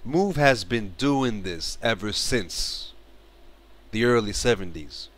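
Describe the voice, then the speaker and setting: Moroccan guy in his 30s on a headset in his bedroom, lowish voice, keeps restarting his sentences. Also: animated, slow, a bit bright. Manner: earnest, repeats and restarts phrases, gestures while talking. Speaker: vlogger. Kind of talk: casual spoken commentary to camera. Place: bedroom, small indoor room. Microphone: headset mic.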